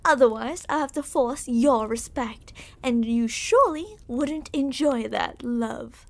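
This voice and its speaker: A woman's voice: fairly high pitch, animated, slow pace, gravelly, full character voice. A woman playing a smug, menacing yandere royal captor in an ASMR roleplay, speaking in a theatrical, condescending, mock-affectionate way. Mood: threatening, condescending.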